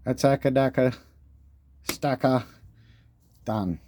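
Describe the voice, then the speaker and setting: A man with an Australian accent and a deep voice, showing his coin collection in a laid-back, swearing, chatty way. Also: takes it slowly, mellow, no echo. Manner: laid-back, swears casually, calls viewers mate. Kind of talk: casual show-and-tell chat. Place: indoors at a table.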